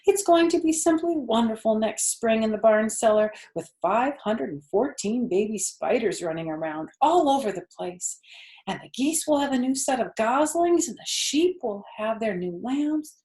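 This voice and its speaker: A woman's voice: a little high, animated, normal speed, dark tone, reverberant, pitched above the performer's own voice, close to an everyday voice. A woman voicing an innocent, eager young male pig in a children's storybook, full of naive questions. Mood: eager, concerned. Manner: wide-eyed, questioning, naive.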